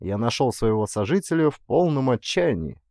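Animated male audiobook narrator. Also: medium pitch, moderate pace, gravelly, no room sound, clean studio sound. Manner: dramatic, storytelling. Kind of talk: expressive scripted literary narration.

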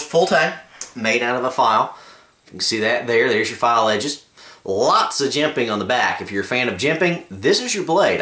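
A man's voice: medium pitch, a bit bright, echoey room. A man hosting his own channel, casually reviewing a cheap knife with a laid-back, rambling manner and blunt opinions. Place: indoors, camera filming a carpeted floor.

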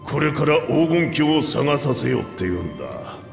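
An old reptilian monarch in a fantasy game. The voice is gravelly and swings widely in pitch, grand and commanding with a playful streak. It rings through a long, echoing hall reverb. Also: male voice, very animated, normal speed. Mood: grave, measured. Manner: grandiose, archaic elder speech. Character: boisterous old two-headed reptilian king, playful and commanding. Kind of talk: theatrical video game voice acting. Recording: echoey hall reverb, music behind.

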